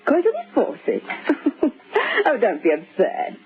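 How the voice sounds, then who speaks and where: A woman's voice: mid-range voice, wildly swooping pitch, a little slow, phone-quality sound. A woman playing a smug, teasing wife in an old-time radio drama. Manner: breezy, teasing, dismissive. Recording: band-limited old radio recording.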